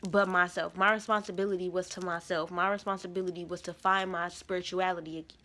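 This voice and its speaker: A woman's voice: fairly low pitch, flat delivery, fast talker, sharp tone. A woman in her twenties giving an emphatic, slangy spiritual testimony to her viewers. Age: 20s. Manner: emphatic, repetitive, slangy storytelling. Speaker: spiritual vlogger.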